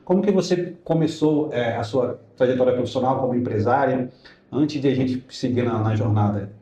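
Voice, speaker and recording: A man's voice: medium pitch, normal speed, dark tone, echoey room, a little hiss or hum. A man hosting a podcast, reading sponsor messages in an announcer-like way and then asking his guest questions in a friendly way. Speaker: podcast host.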